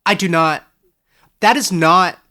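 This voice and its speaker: A man voicing an earnest, excitable young former student in a storybook, eager and quick to defend himself. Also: high-pitched, pitched above the performer's own voice, full character voice. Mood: flustered. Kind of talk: storybook character voice in audiobook narration.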